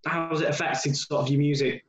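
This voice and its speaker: A man co-hosting a podcast, asking a long, halting question full of fillers and restarts. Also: a little high, steady tone, lo-fi sound. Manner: hesitant, rambling questions with many restarts. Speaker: podcast co-host. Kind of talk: interview questions. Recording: roomy echo.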